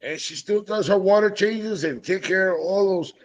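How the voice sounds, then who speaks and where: A man's voice: high-pitched, moderate pace. A man in his early fifties with lots of energy, chatting casually about fish with friends. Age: early 50s. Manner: energetic, wired. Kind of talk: casual chat. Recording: dull lo-fi sound.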